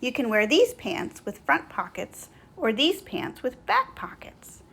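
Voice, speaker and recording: A patient, encouraging mother from a picture book, read out by a woman. She speaks clearly to her young child in a slightly lifted storytelling voice. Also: high voice, sing-song, measured pace, a bit bright, voice pushed up, heavily acted. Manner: clear, patient, offering choices.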